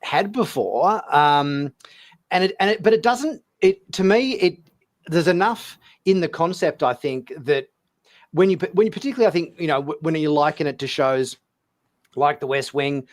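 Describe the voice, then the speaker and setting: A man with a voice a little higher than most hosts a casual panel chat. He feels his way through sentences hesitantly, repeating words and phrases as he builds to his questions and points. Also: animated, moderate pace. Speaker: host of a fan talk show.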